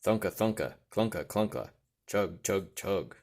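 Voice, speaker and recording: A man reading a children's picture book aloud, with rhythmic delivery and deliberate emphasis on the sound words. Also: deep voice, a little mellow. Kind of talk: read-aloud children's storytelling.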